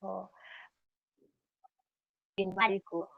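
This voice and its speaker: A woman in her twenties practising English on a video call, halting and hesitant as she searches for words. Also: medium pitch, level tone, gravelly, dry recording. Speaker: English learner. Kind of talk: casual video-call conversation. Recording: dull, dry video-call audio.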